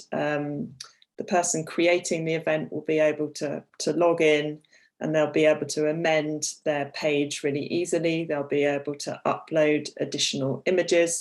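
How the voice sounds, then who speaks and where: A woman hosts an online webinar, her voice a little croaky from a cold. She chats through the details at an easy pace, filling pauses with ums and the odd cleared throat, heard through a video call mic. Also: low-pitched. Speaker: webinar host. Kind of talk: presenting a product webinar.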